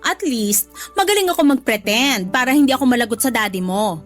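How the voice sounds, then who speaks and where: A woman's voice: fairly high pitch, lively intonation, brisk, a bit bright, soft background music. An actress playing a cruel, haughty stepmother in a radio drama, scolding and bossy. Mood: contemptuous. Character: cruel, domineering live-in stepmother, spiteful and haughty. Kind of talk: Tagalog radio drama acting.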